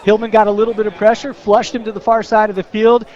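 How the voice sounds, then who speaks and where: High-voiced guy doing color commentary at a football game, folksy and colorful recaps. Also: little pitch movement, fast talker, a little mellow, highs cut off. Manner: colloquial, colorful storytelling. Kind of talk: live football analysis and recap. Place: outdoor football stadium broadcast.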